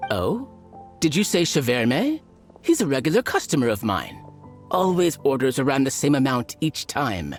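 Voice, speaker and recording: A man playing an affable but firm drinks merchant in a video game, courteous, measured and businesslike. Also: mid-range voice, huge pitch swings. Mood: friendly. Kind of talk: video game cutscene acting. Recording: music behind the voice.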